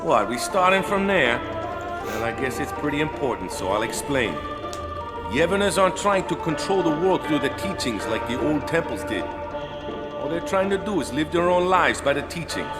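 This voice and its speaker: Game drama: easygoing, blunt older guardian man male actor, colloquial talk, higher-set voice, music underneath. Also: lively intonation, moderate pace, close to an everyday voice. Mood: patient explaining. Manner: colloquial, tags sentences with "eh" and "yeah". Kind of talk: acted audio drama dialogue. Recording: music behind the voice.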